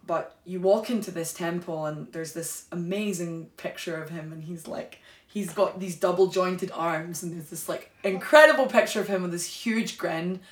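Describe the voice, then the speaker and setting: Low-voiced woman telling her story, roomy. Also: moderate pace. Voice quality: warm, flowing delivery with many "like" and "you know" fillers. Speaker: guest, sacred singer. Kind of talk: candid personal storytelling in a podcast conversation.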